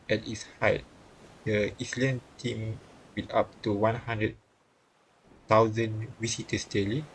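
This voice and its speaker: A man's voice: lowish voice, a little hiss or hum, low-quality recording. A man streaming a visual novel, reading the game text aloud and often stumbling and rereading phrases.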